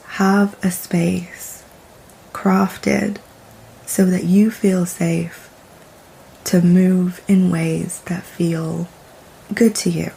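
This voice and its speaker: Very slow, mellow woman guiding meditation. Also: on the low side, vocal fry, slightly rough, low-quality recording. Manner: soft, soothing, deliberate with long pauses. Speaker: guided meditation host.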